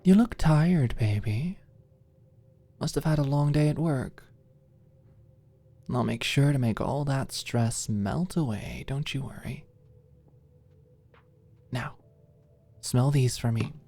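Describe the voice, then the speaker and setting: A woman playing a confident, doting, teasing girlfriend in an intimate ASMR roleplay, soft and playful. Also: extremely low pitch, takes it slowly, pauses often, heavily acted. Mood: tender, soothing.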